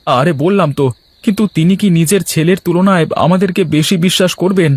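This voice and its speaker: A man's voice: high-pitched, steady tone, quick pace, husky. A man playing a humble, aggrieved labourer in a cartoon, plaintive and complaining. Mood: dejected, aggrieved. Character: humble aggrieved male labourer, husband, protesting innocence. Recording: light background music.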